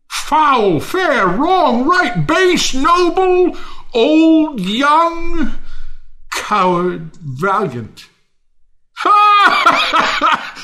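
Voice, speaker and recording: A man's voice: very high-pitched, bright, forceful tone, heavily acted. An actor playing a bitter man who hates mankind in a Shakespearean audio drama, declaiming scornfully. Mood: manic, scornful. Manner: declamatory, scornful, drawn-out phrasing with sudden swoops.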